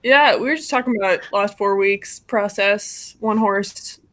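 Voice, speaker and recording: A woman's voice: mid-range voice, measured pace, fairly bright tone. A woman hosting an informal live stream discussion in a casual, conversational way.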